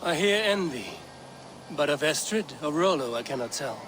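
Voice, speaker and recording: A man's voice: fairly high pitch, animated, noisy background, close to an everyday voice. Man playing a stern, probing Viking warrior. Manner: measured, direct. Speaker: video game protagonist. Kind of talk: video game dramatic acting.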